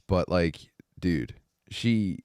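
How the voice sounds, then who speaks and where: A man's voice: low-pitched, drawn-out words, a little mellow, clean tone, no room sound, studio quality. A man in casual, profane podcast conversation, bantering informally and reading passages aloud. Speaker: co-host reading aloud from a book.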